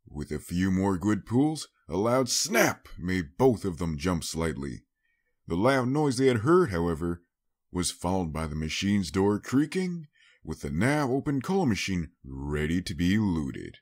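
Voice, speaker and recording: A man's voice: low-pitched, big pitch swings, measured pace, slightly rough. A man reading a scripted story as an audiobook narrator, in a dramatic storytelling style.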